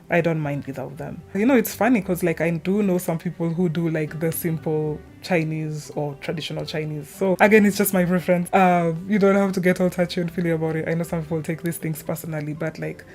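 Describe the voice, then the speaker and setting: A young woman speaks in a fairly low voice over background music. She chats informally about her opinions, wandering into tangents as she goes. It has the loose, unscripted feel of a homemade gaming video. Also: darkish tone. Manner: informal, chatty, trailing tangents. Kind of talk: casual rambling opinion chat.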